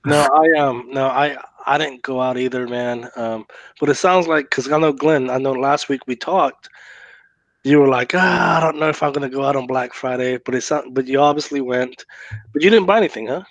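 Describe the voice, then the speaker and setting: Raspy-voiced man joking on a podcast. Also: mid-range voice, normal speed, dry recording. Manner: teasing, joking, animated. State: raspy voice from a cough. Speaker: podcast co-host. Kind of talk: casual chat.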